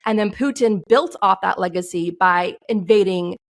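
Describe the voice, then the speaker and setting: Passionate woman with a gravelly voice. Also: mid-range voice. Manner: passionate, outspoken, fast storytelling. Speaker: guest, screenwriter. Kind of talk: interview answers.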